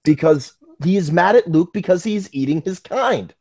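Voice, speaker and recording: An American man co-hosting a casual live fan podcast, talking in an excitable, joking way. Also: sits high, moderate pace, dry recording. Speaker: podcast co-host.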